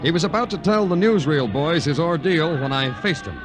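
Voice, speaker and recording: An actor playing a wry, world-weary city police detective in an old radio crime drama, with deadpan, sardonic delivery and florid phrasing. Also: male voice, fairly high pitch, a little fast, over music, natural voice, poor audio. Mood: wry, dramatic. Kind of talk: 1950s radio crime drama narration and dialogue.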